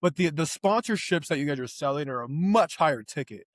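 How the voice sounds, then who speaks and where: A man hosting a podcast, with a voice pitched a little above the usual. He affirms his guest warmly and keeps the chat conversational. The recording is close and dry, with no room sound. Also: lively intonation, a little fast, no room sound. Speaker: podcast host. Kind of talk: casual interview talk.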